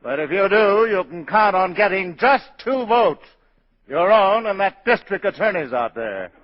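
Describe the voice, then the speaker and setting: An actor playing a shrewd, wisecracking political adviser in a 1940s radio drama, slangy, wry and persuasive. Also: male voice, sits high, lively intonation, unhurried. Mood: sardonic persuading. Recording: muffled vintage 1940s radio broadcast.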